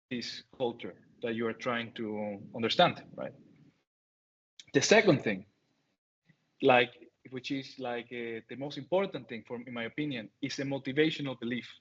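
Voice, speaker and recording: A man's voice: mid-range voice, normal speed, slightly rough, highs cut off. A man in his thirties from Venezuela, speaking English with a Spanish accent and many fillers and restarts, giving a talk over a video call. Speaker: guest speaker. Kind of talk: online talk.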